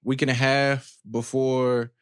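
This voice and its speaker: A man hosting a casual podcast chat, asking questions in a loose, slangy way with halting restarts. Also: mid-range voice, flat delivery, clear, resonant tone, no room sound, studio quality. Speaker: podcast host.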